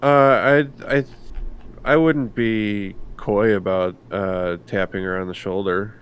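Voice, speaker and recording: Male tabletop player, deep voice, unhurried halting delivery, faint background noise. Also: fairly even intonation. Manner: deliberate, halting. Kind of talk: describing his character's actions. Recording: noisy room recording.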